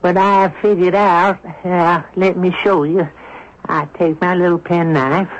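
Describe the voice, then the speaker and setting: A man voicing a disguised investigator posing as a sweet, earnest woman, making a measured, pleading speech in a radio drama. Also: high-pitched, flat delivery. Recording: dull vintage radio broadcast.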